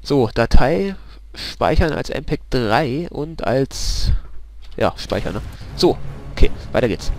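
A man's voice: mid-range voice, sing-song, takes it slowly, creaky, darkish tone, slight background noise. A man casually commenting a co-op game, chatty and swearing whenever he dies. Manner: chatty, swears, laughs at deaths. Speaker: let's play commentator. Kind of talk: casual gaming chat.

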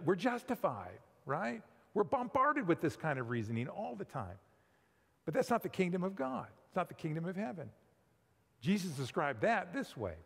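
A middle-aged male pastor preaches in a lively, talky way, his fairly high voice leaping up and down as he tosses rhetorical questions at the congregation. His words ring out through a big church sanctuary, trailing a long reverb. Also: breathy edge. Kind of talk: sermon. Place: large church sanctuary with pipe organ.

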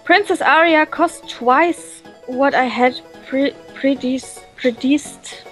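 A high-voiced woman, a native German speaker, co-hosts a visual novel let's play. She reads on-screen text aloud and comments casually, often stopping to find a word. Music plays faintly behind her. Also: fairly bright tone, low-quality recording. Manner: halting, searching for words.

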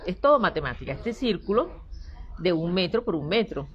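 A middle-aged Colombian woman with a low voice describes her artwork, animated yet hesitant, often repeating words as she searches for them. She speaks on a lapel mic, and the recording sounds dull and lo-fi. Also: normal speed. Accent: Colombian Spanish. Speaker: artist, interview guest. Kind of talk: explaining her work in conversation. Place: indoor seating area with a painted wall.

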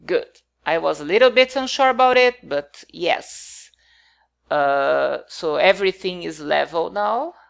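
A woman's voice: on the low side, animated, unhurried, gravelly, dull audio. A woman whose first language is not English, talking casually over her game build in a hesitant, rambling way with many fillers.

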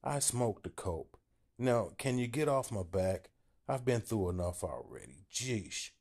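A man voicing a depressed, washed-up golfer in his fifties who sounds weary and sulky, mumbling low. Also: big pitch swings, measured pace, darkish tone, slightly rough, heavily acted. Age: 50s.

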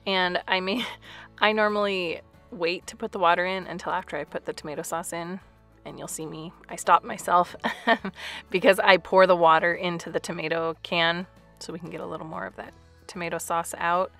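A woman's voice: lowish voice, fairly even intonation, moderate pace, fairly bright tone, soft background music. A woman in her thirties chatting casually through a home cooking vlog voice-over, with light laughs. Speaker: family vlogger.

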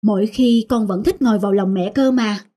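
A woman's voice: mid-range voice, brisk, dark tone, breathy edge, natural voice. A woman playing a tender, worried young mother in a cartoon family drama. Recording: music behind.

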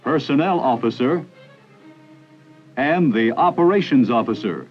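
A man's voice: mid-range voice, animated, measured pace, faint music behind. Formal male narrator, old training film. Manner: formal, authoritative, clipped lists. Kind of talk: scripted 1960s educational film narration. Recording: dull old film soundtrack.

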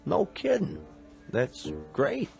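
An actor playing a wisecracking bartender in a radio drama, dry and sardonic. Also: male voice, high voice, huge pitch swings, slow, gravelly, a little mellow, exaggerated voice. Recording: radio play with music underneath.

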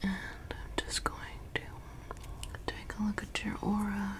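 A young woman in ASMR roleplay as a mysterious forest witch who tends a lost traveler, whispering softly close to the mic and repeating words soothingly in a small dim room. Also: mid-range voice, completely flat delivery, a little slow, close to an everyday voice. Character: mysterious, teasing forest witch woman, caring yet ominous. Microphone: close studio mic.

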